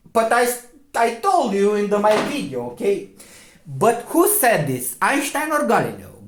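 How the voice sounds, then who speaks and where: A man with a Romanian accent, a native Romanian speaker, giving a lecturing commentary, insistent, often checking "okay?" and pausing on fillers. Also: high voice, roomy sound. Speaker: video commentator.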